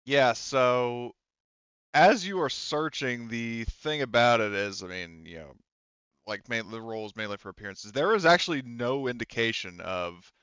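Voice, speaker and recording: A man's voice: medium pitch, animated, normal speed, a bit bright, clear, resonant tone, no echo. A man game-mastering a tabletop roleplaying game for friends, casually narrating and hesitantly thinking aloud, on slightly dull audio.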